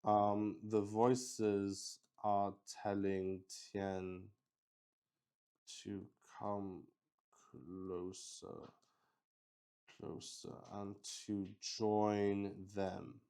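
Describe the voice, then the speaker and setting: Male solo RPG host, smooth resonant voice on the lower side, improvising narration in a halting, think-aloud way. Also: level tone, warm tone. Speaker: solo tabletop roleplay host. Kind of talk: improvised narration of a solo tabletop roleplaying game.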